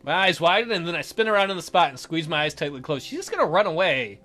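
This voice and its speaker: A woman's voice: on the low side, big pitch swings, fast talker, fairly bright tone. A woman hosting a let's play, reading a game's narration aloud with casual commentary. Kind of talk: animated game read-aloud with casual commentary. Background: music.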